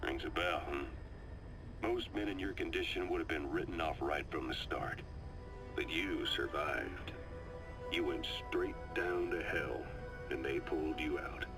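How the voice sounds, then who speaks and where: A man playing a seasoned, calm and knowing military adviser in a video game, briefing his commander in a measured, confiding storytelling delivery. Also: very deep voice. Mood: grave, ominous. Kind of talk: video game voice acting, dramatic briefing monologue. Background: music.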